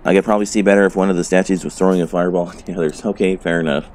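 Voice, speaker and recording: A man's voice: sits low, steady tone, racing, a little mellow. A man with a sore throat giving laid-back, profane commentary while playing a game. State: sore throat, a little hoarse. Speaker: let's play host. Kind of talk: casual gameplay commentary.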